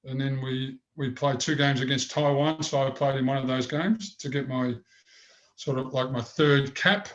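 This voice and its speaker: A man's voice: mid-range voice, fairly even intonation, moderate pace, dull audio. An older man with a big voice, telling rambling stories in an interview. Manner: rambling anecdotes with many restarts. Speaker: former goalkeeper, guest. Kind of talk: storytelling interview.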